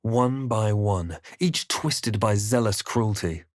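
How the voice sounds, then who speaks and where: Low, measured fantasy adventurer. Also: male voice, no room sound, clean studio sound. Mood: grave, measured. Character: young male fantasy adventurer hero, measured and serious. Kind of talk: video game voice lines and past-tense storybook narration.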